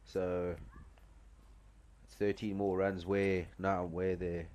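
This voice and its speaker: A man from South Africa with a South African accent, giving conversational, somewhat halting live cricket commentary. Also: deep voice, level tone, darkish tone. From Cape Town, South Africa. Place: outdoors at a cricket ground.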